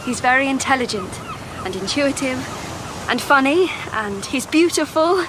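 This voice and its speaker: An actress playing a romantic, open-hearted young Victorian gentlewoman in an audio drama. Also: high voice, expressive, moderate pace, background noise. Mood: fond, reassuring.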